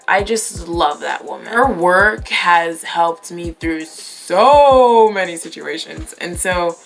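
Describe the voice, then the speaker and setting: A woman's voice: mid-range voice, a bit bright. Chatty twenty-year-old woman vlogging. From New York, raised in California. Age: 20s. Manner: chatty, rambling, self-correcting with fillers. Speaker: YouTuber. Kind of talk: casual Q&A vlog.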